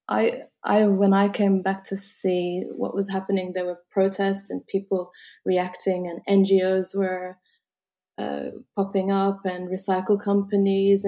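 A woman from Lebanon, whose first language was French, answers interview questions with a gravelly edge to her voice. Her tone is dark and mellow. She talks thoughtfully, restarting and filling pauses often. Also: mid-range voice. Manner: thoughtful, many restarts and fillers. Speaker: travel concierge.

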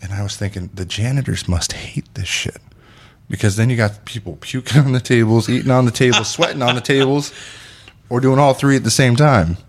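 A man's voice: mid-range voice, a little fast. A man in his thirties hosting a casual chat, telling stories animatedly, acting out quotes and swearing freely. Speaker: podcast host.

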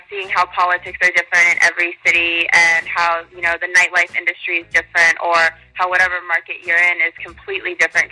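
A woman's voice: lowish voice, pushed and bright, poor audio. A young woman DJ answering interview questions in a chatty, upbeat way with lots of fillers. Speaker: guest DJ.

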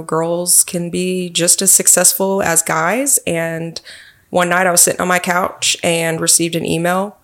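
Deep-voiced woman with a Texan accent. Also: a bit bright. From Texas. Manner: earnest, friendly storytelling. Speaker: police officer guest. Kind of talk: interview answers.

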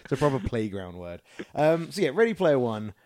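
A Scottish man co-hosting a podcast, chatting casually and playfully about films and games in an informal, excitable way. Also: mid-range voice, a little fast, dry recording. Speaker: podcast co-host.

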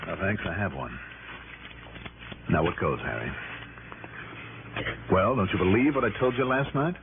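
A man's voice: low voice, very animated, normal speed. An actor playing an indignant, insistent male private investigator with a wry, slangy manner in a 1960s radio drama. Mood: exasperated. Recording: tinny, noisy 1960s radio recording.

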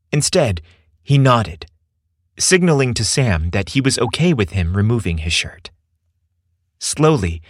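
Low-pitched male storyteller, dry sound. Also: no room sound. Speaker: narrator and storyteller. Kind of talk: scripted narration of a romantic story.

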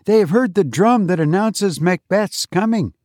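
A man voicing an eager schoolboy answering his teacher quickly. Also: high-pitched, expressive, darkish tone, no room sound, studio quality, voice pushed up. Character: eager bright schoolboy pupil.

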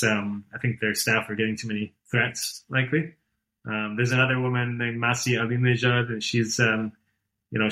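A man in his late thirties talks casually as an interview guest. His voice sits at an ordinary middle pitch. He wanders through his points with plenty of "you know" and restarts. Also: fairly bright tone, rough recording. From born and raised outside Iran. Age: 30s. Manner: rambling, many fillers and restarts. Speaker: podcast guest.

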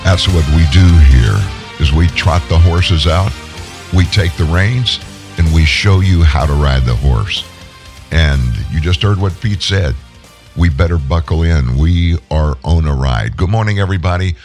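A man with a deep, hoarse voice, unwell after a long flight, hosting a political talk show in a folksy, rambling, opinionated way. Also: a little slow, over music.

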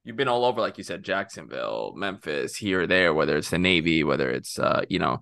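A man's voice: sits low, lively intonation, fairly quick, vocal fry, bright, forceful tone, dry recording. A young man from Texas co-hosting a podcast, chatting casually in rambling, self-interrupting talk with jokes. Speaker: podcast co-host.

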